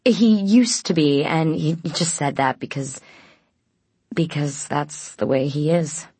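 A woman voicing a stubborn, defensive teenage girl with halting speech in an audiobook story. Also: sits low, gravelly, husky, no echo, heavily acted. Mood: flustered.

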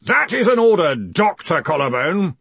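A man's voice: high-pitched, big pitch swings, normal speed, bright, forceful tone, husky, no room sound, voice pushed up, full character voice. A man voicing a pompous, furious old arch-chancellor of wizards in an audiobook, bellowing and imperious. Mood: indignant.

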